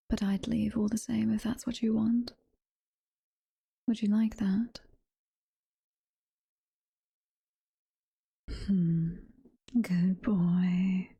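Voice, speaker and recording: A woman's voice: medium pitch, creaky, dark tone, husky, heavily acted. A British woman playing a doting, possessive demon girlfriend in an intimate ASMR roleplay, whispering and cooing in a motherly way. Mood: sweet, coaxing. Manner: whispered, slow, intimate cooing with pet names. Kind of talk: soft-spoken ASMR roleplay.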